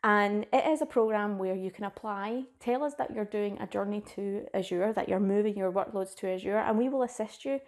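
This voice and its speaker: A woman's voice: mid-range voice, brisk, hardly any pauses. Scottish woman in her thirties for an upbeat, informal tech session, recorded on a desk webcam. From Scotland. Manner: enthusiastic, chatty and informal. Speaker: cloud advocate presenting a conference session. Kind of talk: presented tech talk. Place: small indoor room with a plain wall.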